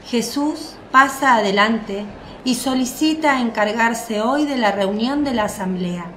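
Female narrator for radio drama: fairly high voice, measured storytelling, roomy echo. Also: slight background noise. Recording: roomy, echoing voice track. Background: faint music.

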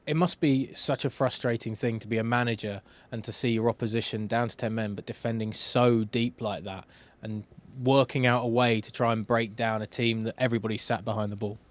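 Club interviewer, brisk questions. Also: male voice, mid-range voice, fairly even intonation. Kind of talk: post-match interview questions.

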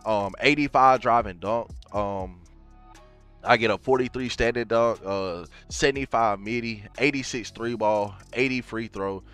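Young male gaming streamer with a slightly low voice, stuffy from a cold; relaxed, chatty delivery to his viewers, faint music under him. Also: unhurried, smooth. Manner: laid-back, addresses viewers as y'all, fillers like man and bro. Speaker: gaming YouTuber. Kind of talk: casual game walkthrough. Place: home gaming setup, gaming chair.

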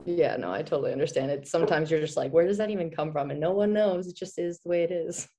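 A woman in her twenties from the Czech Republic, chatting as a guest in a video-call interview, animated and quick to laugh. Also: sits low. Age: 20s. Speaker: guest, former college hockey player. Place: small indoor room. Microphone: laptop webcam on video call.

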